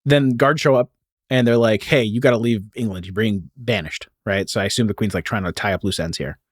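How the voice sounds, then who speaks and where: A man's voice: fairly low pitch, fairly quick, breathy edge, no echo, clean studio sound. A man hosting a podcast, telling a story in a casual, informal way peppered with "like" and "you know.". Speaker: podcast host.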